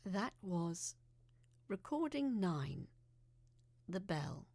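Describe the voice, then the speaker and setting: A woman telling a spooky first-person story in a dramatic, uneasy, confiding way, sometimes dropping to a hush. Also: mid-range voice, big pitch swings, very slow, no room sound. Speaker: narrator of a horror audio story.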